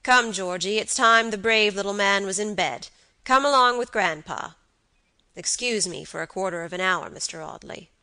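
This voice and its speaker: A woman's voice: medium pitch, normal speed, sharp tone, dry recording, rough recording. A woman voicing a peevish, self-pitying old grandfather in a storybook, plaintive and apologetic. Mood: coaxing. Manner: plaintive, murmuring, repeats himself.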